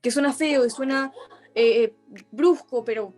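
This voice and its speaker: Young woman, chilean accent, higher-pitched voice, earnest, restarts often, faint music under her. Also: unhurried, darkish tone. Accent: Chilean Spanish. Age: young adult. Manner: earnest, many restarts and self-corrections. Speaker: youth activist guest. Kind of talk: conversational interview.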